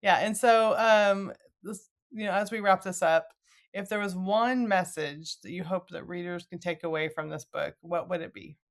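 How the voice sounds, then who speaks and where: A woman's voice: on the low side, normal speed. A woman hosting a conversational podcast interview in an effusive, agreeable manner. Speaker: podcast host.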